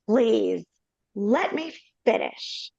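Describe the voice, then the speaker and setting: A woman's voice: a little high, expressive, extremely slow, vocal fry, a little mellow, husky, no room sound. A woman reading stage directions and the stepmother's lines in a live video-call read-through, in a plain, matter-of-fact way. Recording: dull, dry video-call audio.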